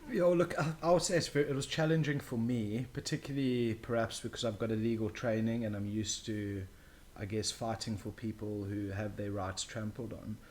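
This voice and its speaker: A man's voice: lowish voice, fairly even intonation, brisk, hardly any pauses, darkish tone. A young man speaking as an interview guest, earnest and emphatic, giving his opinions in a wordy, conversational way. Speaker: interview guest, new father.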